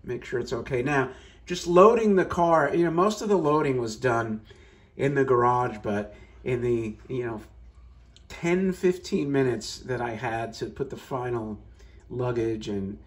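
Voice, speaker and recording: A man's voice: mid-range voice, a little slow. Rambling man filming his car. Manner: rambling, many fillers and restarts. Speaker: car vlogger. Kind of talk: casual off-the-cuff commentary. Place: hotel room, then outdoors in a parking lot.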